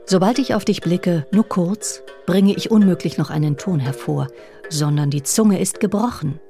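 A performer reciting an ancient poet's intimate love lyric in a measured, intense way, as in a radio feature. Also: mid-range voice, dark tone, soft background music, lightly acted. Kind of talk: poetry recitation, literary reading.

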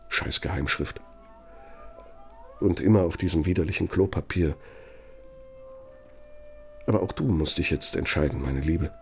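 An actor playing a pompous, bitter older former Nazi functionary dictating in a German radio play, sardonic and domineering. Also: male voice, low voice. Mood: bitter, reproachful.

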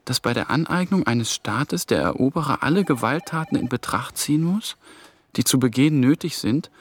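A man's voice: medium pitch, animated, moderate pace, close to an everyday voice. A man playing a young intern reading aloud. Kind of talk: TV drama acting.

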